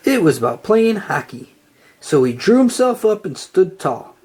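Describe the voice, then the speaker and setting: A narrator reading a children's storybook aloud in an animated style. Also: mid-range voice, a little slow, slightly rough.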